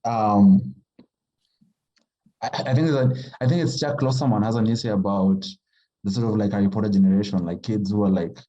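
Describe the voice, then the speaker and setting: A man from Kenya, a writer talking casually as a podcast guest in a halting, thoughtful way full of fillers. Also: lowish voice, mellow, highs cut off. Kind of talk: casual conversation.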